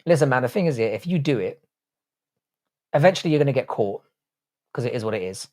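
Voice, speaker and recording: A man's voice: mid-range voice, expressive, fast, warm tone. Blunt, crude man chatting live. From Ireland, grew up in the UK. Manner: blunt, jokey, crude banter. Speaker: livestream host reading chat. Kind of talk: casual live chat.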